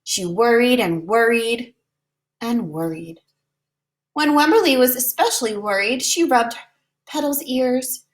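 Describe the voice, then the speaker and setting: A woman with a fairly high voice reads a picture book to young children. Her delivery is expressive and deliberate, with drawn-out words, in the manner of a preschool teacher. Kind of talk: children's storybook read-aloud.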